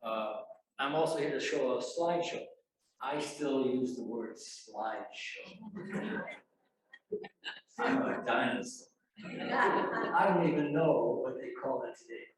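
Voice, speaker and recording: A man's voice: mid-range voice, animated, takes it slowly, long pauses, a little hiss or hum. A man in his seventies, an alumnus photographer giving a chatty, self-deprecating speech to an audience at a university event. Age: 70s. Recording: very echoey hall, mic far off.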